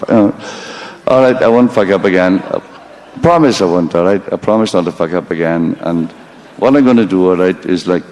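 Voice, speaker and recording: A man's voice: sits low, a little fast, a little mellow, low-quality recording. A man giving a rambling live stage monologue, swearing freely, mocking himself and stopping to apologize for his slip-ups. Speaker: stand-up storyteller.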